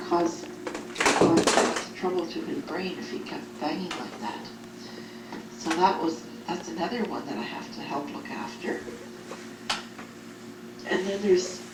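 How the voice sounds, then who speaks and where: A woman's voice: fairly low pitch, sing-song, measured pace, pauses often. A woman talking as if in an audio letter to a child, explaining things in simple phrases, recorded on cassette. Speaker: group home care worker. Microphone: cassette recorder at a distance. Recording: roomy, noisy cassette tape from a distance.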